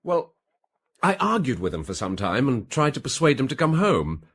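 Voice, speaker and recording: An actor playing an evasive, defensive British gentleman suspect in a radio detective drama, hesitant and drily understated. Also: mid-range voice, huge pitch swings, moderate pace, breathy edge, full character voice. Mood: recounting, earnest.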